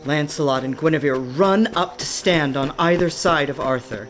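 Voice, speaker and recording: A woman narrating a scripted radio play with measured, dramatic storytelling. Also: low voice, faint music behind.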